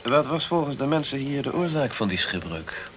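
A man's voice: mid-range voice, sing-song, moderate pace, fairly bright tone. A man playing a businesslike English insurance investigator, terse, dry and inquisitive, in a radio play. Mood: probing, businesslike. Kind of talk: Dutch radio play acting.

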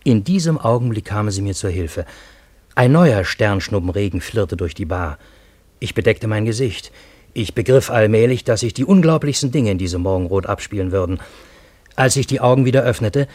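A lowish, measured voice narrates a radio play in the first person. The storyteller is ironic and bewildered, with literary phrasing and a close, dry sound. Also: male voice, expressive, a little mellow, dry recording. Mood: wondering, ironic. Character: bewildered, ironic male storyteller recounting fantastic events.

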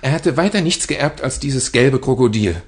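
A man playing a deferential, hesitant house servant in a German radio play, formal and halting, repeating his words. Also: mid-range voice, animated, husky. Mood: reserved, polite.

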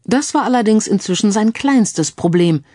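A woman narrates a crime audiobook in a scripted storytelling style. Her voice sits at a medium pitch, recorded very dry with no room around it. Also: expressive, moderate pace, dark tone, no echo.

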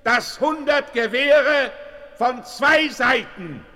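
Slow, forceful, high-calling western hero. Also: male voice, exaggerated voice. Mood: commanding. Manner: slow, authoritative, declaiming. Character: commanding western hero giving surrender ultimatum. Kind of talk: 1970s German radio play acting. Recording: long hall echo, calling from afar.

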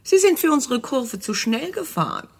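An actress playing a chatty, excitable woman in a gothic radio drama, with a husky, creaky voice. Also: high voice, moderate pace, exaggerated voice. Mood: animated, gossipy.

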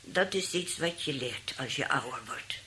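A woman's voice: a bass voice, expressive, a little slow, pushed and bright, slight background noise, exaggerated voice. An actress playing an elderly, sweetly demanding aunt who likes her wine, in a radio play. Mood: pointed. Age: older.

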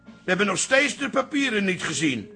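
A man's voice: sits high, big pitch swings, moderate pace, sharp tone, faint music behind, heavily acted. An actor playing a blunt, suspicious older father grumbling in a café radio drama. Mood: suspicious, stern. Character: grumpy, suspicious stout father in a neighbourhood café. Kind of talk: Dutch radio drama acting.

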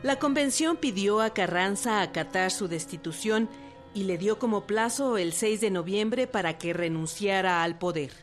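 A woman giving formal, measured narration in a scripted historical radio drama. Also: mid-range voice, soft background music.

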